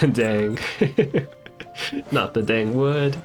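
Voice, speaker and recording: A man's voice: medium pitch, drawn-out words, faint music behind. A man giving casual live commentary on a game, laid-back, wry and joking. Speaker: game streamer.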